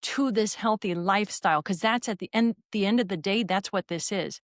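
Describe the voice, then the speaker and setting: A woman hosting a fitness podcast, talking in an energetic, rambling, emphatic way. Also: mid-range voice, fairly quick, no room sound, clean studio sound. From raised in a military family. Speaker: podcast host and fitness trainer. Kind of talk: conversational interview.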